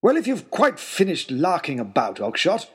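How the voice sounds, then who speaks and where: A man's voice: on the high side, wildly swooping pitch, moderate pace, slightly rough, pitched above the performer's own voice, heavily acted. A man voicing a pompous, easily irritated English lord who leads an expedition, with a blustering manner, in an audio story. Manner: pompous, bellowing.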